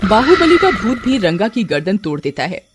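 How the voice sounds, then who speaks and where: A woman's voice: mid-range voice, very animated, moderate pace, very noisy. A woman narrating a dramatic scripted story. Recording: music under the voice. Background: background music.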